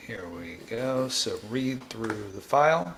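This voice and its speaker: A man hosting a live coding tutorial, thinking aloud in a halting, informal way and often restarting his sentences. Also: mid-range voice, sing-song, vocal fry, background noise. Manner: halting, self-interrupting, informal. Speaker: livestream host, programming instructor.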